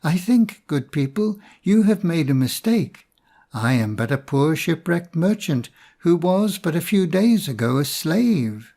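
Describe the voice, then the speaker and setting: A man's voice: a little high, very animated, measured pace, gravelly, mellow, pitched above the performer's own voice, exaggerated voice. A man voicing a humble, bewildered merchant made king in a storybook, with earnest, formal, archaic speech.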